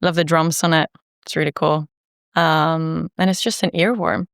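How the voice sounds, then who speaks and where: A singer-songwriter woman from Norway, chatting casually in an interview, laughing easily and filling pauses with ums and likes. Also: sits low, fairly even intonation, vocal fry, no room sound, studio quality. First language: Norwegian.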